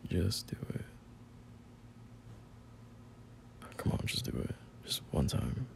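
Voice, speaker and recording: A young man playing a bashful, teasing friend turned boyfriend in an intimate roleplay audio, speaking in halting, murmured slang. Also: slight background noise, close to an everyday voice. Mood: awkward, sincere.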